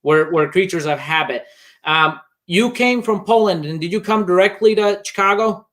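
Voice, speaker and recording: A man's voice: high-pitched, moderate pace, fairly bright tone. A man from Bosnia hosting a conversational interview in a reflective way. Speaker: podcast host interviewing a guest.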